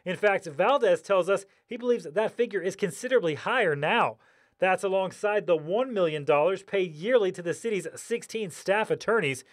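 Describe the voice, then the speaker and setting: A man's voice: high voice, normal speed. A man narrating a scripted television news report.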